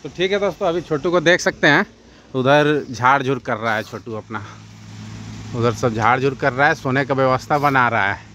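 A man's voice: medium pitch, normal speed, pushed and bright. Man vlogging casually over faint vehicle noise. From India. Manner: addresses viewers as friends, step-by-step commentary. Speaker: truck driver vlogger. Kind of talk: casual cooking vlog narration.

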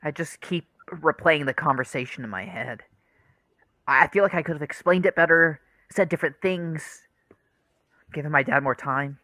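A man's voice: a little high, moderate pace, sharp tone, dry recording, studio quality. An actor playing an earnest, troubled young man in a radio play, hesitant and confessional. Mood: downcast.

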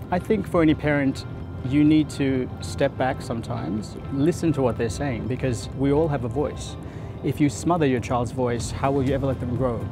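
A man in his thirties from Fiji, a photographer answering interview questions outdoors on a lapel mic in an earnest, thoughtful way, often repeating words as he thinks. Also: medium pitch. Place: outdoor terrace above a city.

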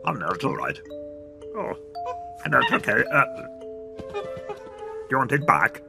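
A British man voicing a bumbling, childish cartoon character who mumbles nervously in halting bursts and exclamations. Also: medium pitch, wildly swooping pitch, gravelly, over music, exaggerated voice. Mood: coaxing, awkward. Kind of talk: cartoon voice acting.

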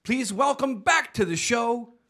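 A man's voice: very high voice, a little slow. A man hosting a TV talk show, announcing the next guest as an upbeat showman into a handheld mic in a studio. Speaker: TV show host. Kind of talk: introducing a guest. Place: TV studio stage with live audience. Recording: loud crowd and noise behind.

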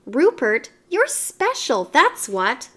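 A woman's voice: sits high, huge pitch swings, slow pace, sharp tone, exaggerated voice. A woman voicing a kind rag doll who speaks in an earnest, reassuring way. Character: kind rag doll girl, warm and encouraging.

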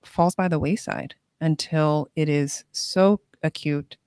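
A woman speaking as a clinic guest on a panel podcast, thoughtful and deliberate. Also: deep voice, darkish tone, clean tone, dry recording, clean studio sound.

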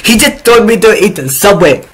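Young man, mock foreign accent, broken English, excited yelps, gameplay commentary, fairly high voice, faint game music. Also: moderate pace. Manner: joking, exaggerated put-on foreign accent, broken grammar. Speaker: streamer.

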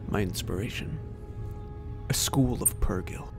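An actor playing an anxious, weary inventor on the run in an audio drama, halting and emotive. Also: male voice, lowish voice, lively intonation, over music. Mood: wistful.

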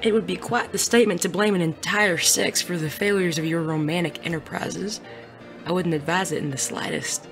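Smooth-talking gentleman bandit acted by a woman, polite and wordy with marked vocal fry, audio Western. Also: on the low side, moderate pace, over music, lightly acted. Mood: sly, courteous. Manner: genteel, formal, smooth-talking. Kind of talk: audio drama acting.

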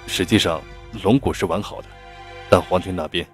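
A man playing an earnest, deferential company manager in an audiobook, speaking in a measured, businesslike way. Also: deep voice, soft background music, natural voice. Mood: businesslike, concerned. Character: earnest, deferential male manager reporting to his boss. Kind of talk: audiobook character acting.

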